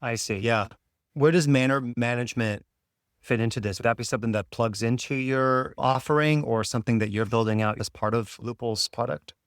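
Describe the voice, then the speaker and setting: A man hosting a podcast, reading a scripted intro and then asking questions in a relaxed way. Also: medium pitch, brisk, no echo, studio quality. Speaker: podcast host, lawyer. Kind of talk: podcast interview.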